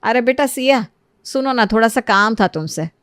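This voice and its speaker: Woman voicing a gentle, put-upon Indian aunty, dry sound. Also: a little high, animated, moderate pace, clear, resonant tone, dry recording. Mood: warm, obliging. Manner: gentle, motherly, plaintive. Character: kind, put-upon middle-aged Indian mother and neighbourhood aunty. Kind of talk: Hindi animated moral story acting.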